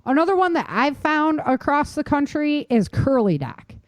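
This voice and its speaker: A woman's voice: high voice, big pitch swings, moderate pace, no room sound. A middle-aged American woman hosting a podcast, talking informally and emphatically as she instructs her listeners directly. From United States. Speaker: podcast host. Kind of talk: casual instructional podcast talk.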